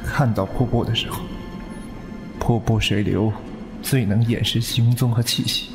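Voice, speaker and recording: Radio drama wuxia role: calculating young swordsman, male actor, icy, flat, matter-of-fact, in an echoey space. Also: medium pitch, a little slow, natural voice. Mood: cold, composed. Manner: terse, controlled, matter-of-fact. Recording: echoey, loud music underneath.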